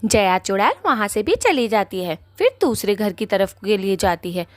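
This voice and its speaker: A woman's voice: mid-range voice, lively intonation, moderate pace, dry recording. A woman narrating a scripted cartoon story as its storyteller.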